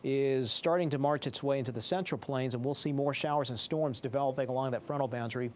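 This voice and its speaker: Male weather presenter, mid-pitched, formal explanatory forecast delivery, slight background noise. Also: fast. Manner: formal, explanatory broadcast delivery with technical phrasing. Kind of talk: live weather forecast commentary.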